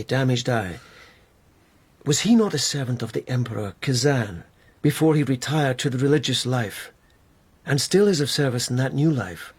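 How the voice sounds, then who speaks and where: An actor playing a male court investigator in a radio drama, probing and mannerly but goading, with measured questioning. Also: mid-range voice, husky. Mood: controlled, probing. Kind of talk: BBC radio drama acting.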